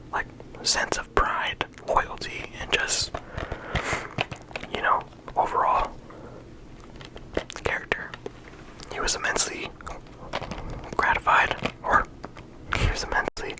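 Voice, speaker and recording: An ASMR creator giving a whispered, unhurried character discussion, often pausing and restarting mid-sentence. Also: low-quality recording. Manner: unvoiced whisper throughout.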